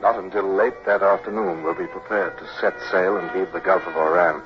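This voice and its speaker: An actor playing an earnest young British naval officer who narrates and gives orders in an old radio drama. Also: male voice, low-pitched, fairly even intonation, a little fast, over music, natural voice. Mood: grave, reflective. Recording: noisy, dull old radio recording.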